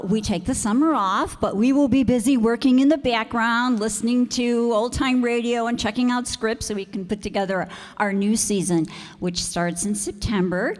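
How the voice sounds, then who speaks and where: A woman's voice: fairly high pitch, a little mellow. A woman giving closing thank-you remarks to an audience as host of a community theater group. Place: community auditorium stage. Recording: echoey hall, picked up in reverberant room.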